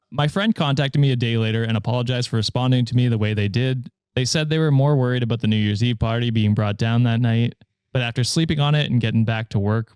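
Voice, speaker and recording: A man's voice: mid-range voice, clean tone, no echo. Male podcast host, american, reading stories aloud, dry close sound, laughs easily. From United States. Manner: laughs often, relaxed storytelling with fillers. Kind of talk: reading Reddit stories aloud in casual chat.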